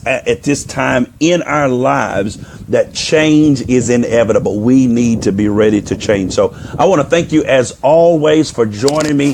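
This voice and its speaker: A man speaking as a life coach and minister, preaching on a live stream into a microphone. His voice is hoarse and nearly gone, and he repeats phrases as he urges listeners on. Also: medium pitch, a little mellow, slight background noise. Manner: repeats phrases for emphasis, urging and exhorting. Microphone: microphone, put on partway through.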